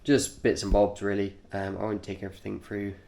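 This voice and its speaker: A man with a nasal voice, congested from a cold, talking through his cycling kit informally and haltingly, in short offhand phrases. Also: fairly low pitch, level tone, creaky, warm tone, a little hiss or hum. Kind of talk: informal vlog, kit walkthrough.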